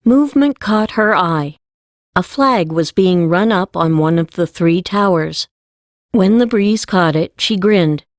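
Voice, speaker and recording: A woman's voice: lowish voice, measured pace, dry recording, clean studio sound. A woman reading scripted narration for a fantasy audiobook.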